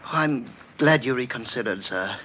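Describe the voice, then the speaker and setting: An actor in a 1940s radio drama playing a meek, uneasy servant, polite and hesitant. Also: male voice, medium pitch, animated, moderate pace, natural voice. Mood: deferential unease. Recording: dull, noisy 1940s radio recording.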